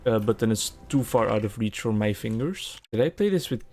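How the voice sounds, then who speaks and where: A man's voice: lowish voice, lively intonation, a little fast, a little mellow, soft background music. A young adult man, a native Dutch speaker, chatting casually and rambling over his gameplay for a video. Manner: chatty, rambling, self-aware. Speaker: gaming video creator.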